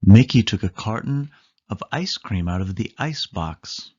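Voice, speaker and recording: A man reads a children's story slowly, with lively swings in pitch. He stresses key words emphatically, and the recording is a little dull. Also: slightly rough. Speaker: storybook reader. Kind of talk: children's read-aloud narration.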